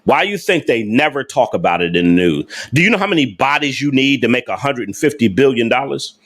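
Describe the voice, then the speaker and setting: A man's voice: medium pitch, expressive, fairly quick, a bit bright. Emphatic man talking on a livestream. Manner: emphatic, repetitive, rhetorical questions to chat; slangy informal speech. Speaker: livestream host. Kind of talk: casual livestream talk to viewers.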